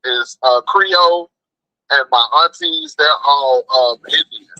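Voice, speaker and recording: A man's voice: mid-range voice, takes it slowly, bright, forceful tone, no echo, poor audio. An American man phoning into a show, chatting casually and telling stories in slangy, emphatic, repetitive phrases. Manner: slangy, emphatic, repeats himself. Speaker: phone-in guest, food truck cook. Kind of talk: casual chat, storytelling. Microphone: phone call.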